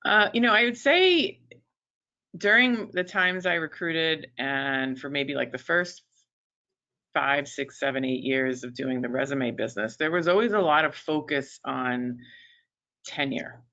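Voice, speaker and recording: A woman's voice: low voice, pushed and bright, dull audio. A woman in her fifties who runs a resume writing business, chatting as a panelist in a webinar with lots of fillers and restarts. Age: 50s. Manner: chatty, many fillers and restarts, quick to laugh. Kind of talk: conversational webinar discussion.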